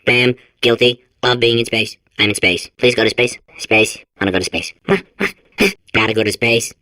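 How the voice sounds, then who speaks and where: A man's voice: medium pitch, moderate pace, pushed and bright, no room sound, close to an everyday voice. A man voicing a manic, childlike robot in a video game, stuttering and compulsively repeating words. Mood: frantic excitement. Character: manic, childlike male robot sphere obsessed with space. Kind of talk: video game character acting.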